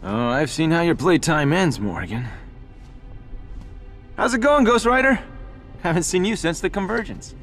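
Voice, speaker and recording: An actor voicing a flippant, cocky demon hunter in a game cutscene. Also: male voice, a little high, animated, a bit bright, faint music behind. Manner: flippant, quick wisecracks. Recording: distant voice under game score.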